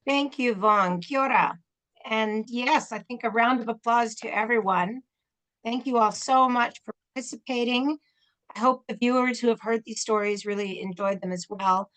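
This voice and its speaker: A woman's voice: on the high side, moderate pace, fairly bright tone, poor audio. A woman hosting an online reading over a video call, thanking the readers effusively with a few fillers.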